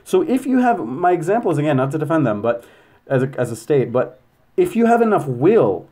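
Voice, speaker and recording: Young male guest, quick and animated, mellow tone, webcam sound. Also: a little high. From United States, Persian-speaking family. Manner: fast, animated explaining. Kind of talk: casual podcast conversation. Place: room at home, over video call.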